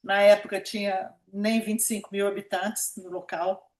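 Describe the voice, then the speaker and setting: A woman's voice: mid-range voice, level tone, normal speed, a little mellow. A woman of about seventy with a Brazilian Minas Gerais accent, recalling her life in a conversational interview and repeating words for emphasis. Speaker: interviewee, pediatric nephrologist. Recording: echoey room sound.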